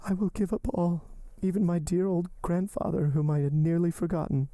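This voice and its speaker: A man's voice: sits high, fairly even intonation, normal speed, warm tone, no room sound, pitched above the performer's own voice. A man reading an audiobook, voicing a devoted young woman with a trembling, imploring delivery. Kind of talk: audiobook character voice.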